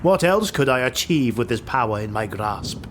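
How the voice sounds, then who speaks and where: A man voicing an arrogant, vengeful dark elf highborn in a fantasy audiobook, with a biting, scheming manner. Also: sing-song, moderate pace, lightly acted. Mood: greedy, gloating. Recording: noisy home recording.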